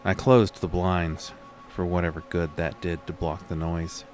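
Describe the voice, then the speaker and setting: Very deep, slow horror narrator, acted by a man. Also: flat delivery, a little mellow, soft background music. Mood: uneasy. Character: haunted man recounting a horror, weary and uneasy. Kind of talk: scripted first-person horror audio drama narration.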